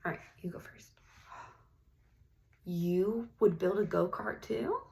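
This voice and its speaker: Slow-paced woman with a creaky voice, reading to children. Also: mid-range voice, darkish tone. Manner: encouraging, teacherly, pausing and gasping theatrically. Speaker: read-aloud host, teacher-like reader. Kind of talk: children's picture book read-aloud with questions to the listeners.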